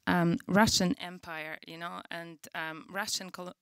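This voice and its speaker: A woman's voice: fairly low pitch, fairly even intonation, measured pace, a bit bright, smooth, no room sound, studio quality. A woman moderating a panel talk, framing questions with many fillers and restarts. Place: event stage.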